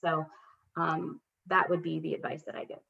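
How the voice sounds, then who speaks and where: Earnest woman coach, slightly low voice. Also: little pitch movement, moderate pace, creaky, pushed and bright. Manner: earnest, reflective, many fillers and restarts. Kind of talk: podcast interview guest. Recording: dull lo-fi recording.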